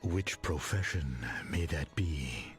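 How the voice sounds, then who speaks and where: Very deep, slow, enigmatic hitman. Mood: cool, probing. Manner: terse, probing questions. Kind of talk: video game cutscene acting. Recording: music under the voice.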